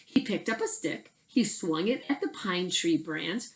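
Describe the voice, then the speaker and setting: Slow, animated woman reading a storybook. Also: lowish voice. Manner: deliberate, emphatic phrasing. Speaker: teacher reading aloud. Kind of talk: expressive children's storybook narration.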